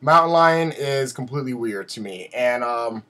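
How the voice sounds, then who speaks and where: A man giving a casual, rambling vlog update in an animated, self-deprecating way, his voice slightly raspy from a sore throat. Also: medium pitch, moderate pace. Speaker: video blogger.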